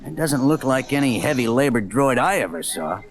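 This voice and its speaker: A man playing a gruff, suspicious loading dock supervisor in an audio drama, blunt and bossy. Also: medium pitch, expressive, normal speed, lightly acted.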